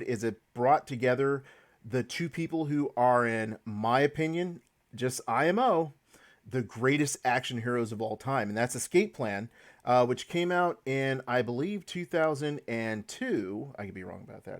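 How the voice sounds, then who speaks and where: A man hosting a casual podcast chat, leading the talk with hedges and restarts. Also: medium pitch. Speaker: podcast host.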